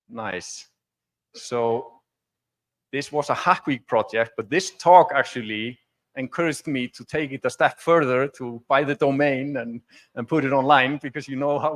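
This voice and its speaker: A man from Iceland, speaking as a conference presenter in an informal, explanatory and conversational way during a technical demo talk. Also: mid-range voice. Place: conference stage before an audience.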